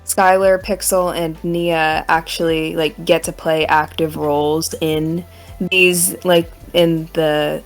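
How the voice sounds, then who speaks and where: A woman's voice: low-pitched, little pitch movement, takes it slowly, soft background music. A woman co-hosting a podcast, giving her opinions in a casual group chat. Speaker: podcast co-host.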